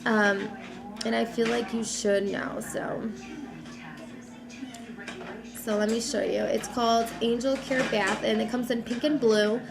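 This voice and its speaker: A young woman vlogging casually about baby gear, a little raspy from a cold, talking in a loose, chatty way with lots of fillers. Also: fairly high pitch, little pitch movement, a little slow, pauses often. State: has a cold, slightly raspy. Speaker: mom vlogger. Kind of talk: casual chat to camera.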